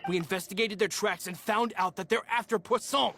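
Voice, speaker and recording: A man's voice: sits high, fairly quick, creaky, bright, forceful tone, slight background noise, heavily acted. An urgent young henchman. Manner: urgent, reporting. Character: loyal young male henchman.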